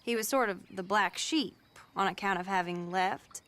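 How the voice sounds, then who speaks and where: An actress playing a spirited young Southern lady with a prim, breathy, giggly manner. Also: medium pitch, moderate pace, fairly bright tone, natural voice. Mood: giddy.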